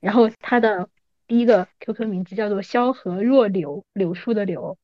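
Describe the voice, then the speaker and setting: A woman's voice: mid-range voice, normal speed, no echo, muffled recording. A woman in her thirties talking casually as a podcast guest, with a teasing, playfully coaxing manner. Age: 30s. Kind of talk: casual chat between old friends.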